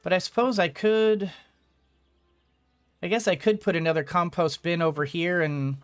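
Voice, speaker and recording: A man's voice: high voice, steady tone, moderate pace, smooth. Rambling man doing game commentary. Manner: rambling, thinking out loud, talking to the game. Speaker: gaming video host. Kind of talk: casual let's play commentary.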